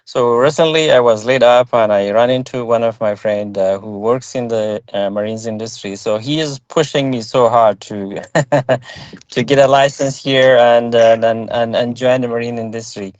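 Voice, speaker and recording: Middle aged guy, english is his second language, halting q&a on a dull online call, dry sound. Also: medium pitch, steady tone, darkish tone, no echo. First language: not English. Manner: halting, searching for words. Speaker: prospective student asking questions. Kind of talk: hesitant spoken questions in an online meeting. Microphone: online meeting call.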